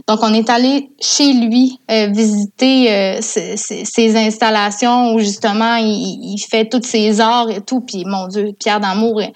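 A woman's voice: a little high, fairly even intonation, a little slow, hardly any pauses. A woman with a Quebec French accent, an interview guest telling stories in a casual, enthusiastic way. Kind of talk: casual conversational storytelling.

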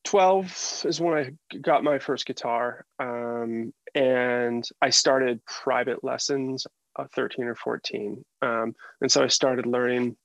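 A man's voice: mid-range voice, takes it slowly, creaky, dry recording, low-quality recording. A man of about forty with an American accent, chatting informally and with slang as a podcast guest. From United States. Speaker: podcast guest, sound engineer. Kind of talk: casual interview chat.

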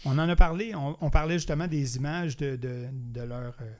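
Halting man, slightly high voice. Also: fairly even intonation, a little mellow. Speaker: podcast co-host. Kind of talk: casual chat about craft beer.

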